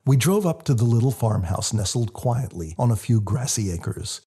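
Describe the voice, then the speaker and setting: A man reading his own book as scripted audiobook narration, in a husky, gravelly voice. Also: medium pitch, animated, normal speed, dark tone.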